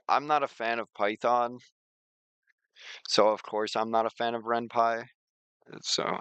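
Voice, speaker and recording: A man streaming a casual software walkthrough, explaining things in an informal, rambling way. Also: on the low side, clean tone, dry recording. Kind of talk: casual screen-share walkthrough and chat.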